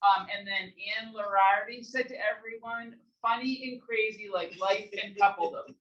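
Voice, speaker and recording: A woman's voice: mid-range voice, fairly even intonation, normal speed, a bit bright. A woman hosting an event informally, reading out comments and thanking people. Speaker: event host. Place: library event room. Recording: echoey room, distant muffled mic, faint noise.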